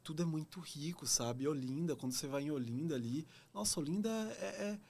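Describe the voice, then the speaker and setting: A man's voice: fairly high pitch, lively intonation, fairly quick, creaky, darkish tone. Earnest Pernambuco-accented young man. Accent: Pernambuco Portuguese. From Pernambuco, Brazil. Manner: reflective, earnest, many fillers. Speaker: actor, podcast guest. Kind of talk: casual interview talk.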